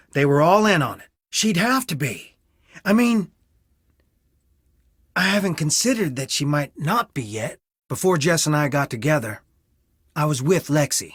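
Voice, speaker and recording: A man's voice: on the high side, fairly bright tone, no echo, clean studio sound. An actor playing a conflicted, wounded young man in a video game, speaking in an earnest, emotionally strained way. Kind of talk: dramatic video game dialogue.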